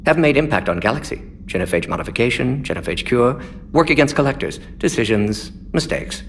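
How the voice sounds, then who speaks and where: An actor playing an aging alien scientist in a video game, clinical and unapologetic, speaking in clipped, telegraphic phrases. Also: male voice, medium pitch, big pitch swings, a little fast, a little hiss or hum. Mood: reflective. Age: older. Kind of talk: video game character dialogue.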